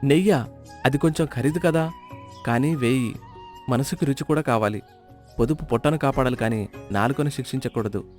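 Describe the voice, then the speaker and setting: A man's voice: mid-range voice, moderate pace, pauses often, faint music behind, lightly acted. A man voicing a stingy middle-aged village man in a storybook tale, whiny and always counting money. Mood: rueful. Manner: whiny, counts every rupee. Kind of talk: storybook voice acting.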